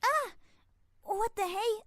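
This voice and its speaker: A woman's voice: very high voice, lively intonation, slow pace, a bit bright, no echo, studio quality, heavily acted. A woman voicing a scrappy young cartoon pony girl with a blunt, childlike way of talking, in a dramatic audio story. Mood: startled, annoyed. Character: scrappy young filly, small pony girl, defiant and vulnerable.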